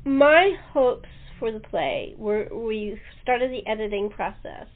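A woman's voice: on the high side, slow pace. A woman hosting a casual interview chat, talking in a chatty, easygoing way. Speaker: talk show host. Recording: tinny phone-like line.

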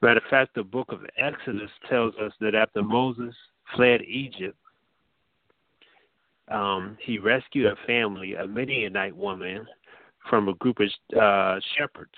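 A man's voice: on the low side, no echo. A man preaching an informal sermon, talking conversationally with frequent fillers and restarts. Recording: dry, tinny phone-like sound.

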